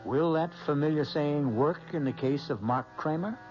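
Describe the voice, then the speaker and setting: A man narrating a radio mystery as its host, deliberate and portentous in delivery. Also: fairly high pitch, darkish tone. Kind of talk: dramatic scripted narration. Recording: noisy, dull old radio broadcast.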